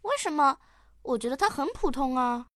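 Woman acting a high-voiced, curious little girl. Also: animated, normal speed, fairly bright tone, no echo, studio quality. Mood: puzzled. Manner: eager, questioning, childlike. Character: curious, quick-witted little granddaughter. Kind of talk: radio drama acting.

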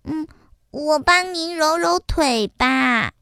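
A woman's voice: high-pitched, gravelly, pushed and bright, no echo, studio quality, pitched above the performer's own voice, heavily acted. A woman voicing a spoiled but sweet little egg girl who whines and pleads in a childish way, in a storybook reading. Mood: earnest. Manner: pleading, drawn-out childish whining.

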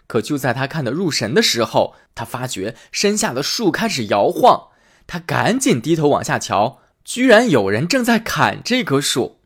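A man hosting and reading a fairy tale aloud in an expressive storytelling manner. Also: mid-range voice, moderate pace, breathy edge. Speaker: storyteller host.

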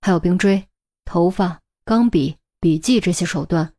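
A woman's voice: fairly low pitch, a little slow, dark tone. A woman voicing a firm senior policewoman in an audiobook, with an authoritative, no-nonsense manner. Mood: analytical. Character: firm, brisk senior policewoman, adult, exasperated mentor.

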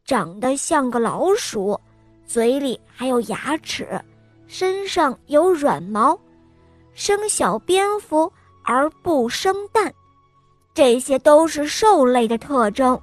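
A young woman hosting a children's bedtime story show, narrating in a sweet, sing-song, gently coaxing manner. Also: sits high, lively intonation, slow, dry recording, soft background music.

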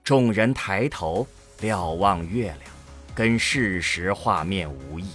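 Animated, unhurried male drama narrator. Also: deep voice, soft background music. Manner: dramatic storytelling. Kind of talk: scripted narration of a science fiction audio drama.

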